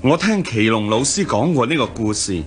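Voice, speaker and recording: Man playing noble young hero, Cantonese radio play, Hong Kong accent, formal, upright, mid-pitched, expressive range. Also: moderate pace, soft background music, lightly acted. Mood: resolute. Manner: earnest, formal, declaiming. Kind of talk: Cantonese radio drama acting.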